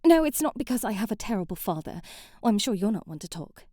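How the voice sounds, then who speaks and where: Woman voicing a bratty young princess, ASMR roleplay, prim and imperious, highly animated intonation, close dry sound, formal wording. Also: fast talker, no echo. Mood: prim, defensive. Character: haughty, sheltered young princess, prim, bratty, easily flustered. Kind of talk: scripted ASMR roleplay acting.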